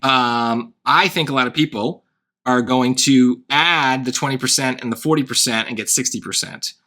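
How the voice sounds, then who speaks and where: A man tutoring SAT math, thinking aloud through a worked problem with wry asides, his voice going hoarse. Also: mid-range voice, moderate pace, pushed and bright. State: voice getting hoarse. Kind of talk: casual worked-example lesson.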